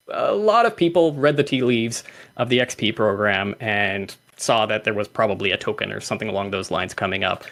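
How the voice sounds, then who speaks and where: A man's voice: medium pitch, fairly bright tone. A young man hosting a livestreamed community call, reading out names and laughing often. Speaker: host.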